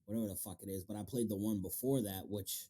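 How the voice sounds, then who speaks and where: A man's voice: fairly low pitch, steady tone, fast talker, dark tone. A man hosting a casual interview, talking in an emphatic, slangy way and swearing freely. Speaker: interview host. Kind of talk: casual, profanity-heavy podcast chat.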